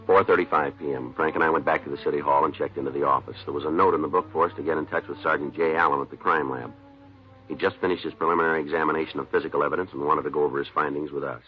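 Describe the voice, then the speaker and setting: An actor playing a terse, businesslike police detective in a 1950s radio drama, clipped and deadpan as he questions and narrates. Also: extremely low pitch, very fast, faint music behind. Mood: matter-of-fact. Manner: clipped, deadpan, matter-of-fact. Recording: dull old-time radio recording.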